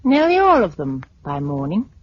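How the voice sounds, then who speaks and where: A woman's voice: fairly low pitch, wildly swooping pitch, normal speed. An actress playing a breezy, pragmatic, teasing British woman survivor in 1950s radio drama dialogue. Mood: playful, pragmatic. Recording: muffled, noisy 1950s radio recording.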